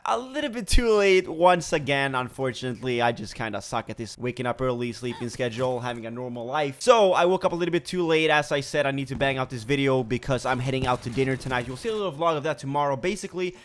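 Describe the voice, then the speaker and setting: A young man from Sweden chats straight to his audience in a casual gaming vlog. His mid-pitched voice is a little rough from a cold and a sore throat. He talks energetically and rambles, with plenty of fillers. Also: lively intonation, fast talker, few pauses, a little hiss or hum. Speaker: gaming YouTuber.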